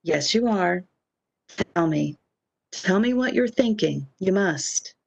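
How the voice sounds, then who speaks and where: A woman's voice: fairly low pitch, animated, slow, vocal fry, heavily acted. A woman playing a devoted, reassuring lover in a radio romance, speaking soothingly and affectionately on a dull lo-fi microphone. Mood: fond, coaxing. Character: devoted, tender, reassuring woman, long-lost lover returned. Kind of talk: old-time radio romance acting.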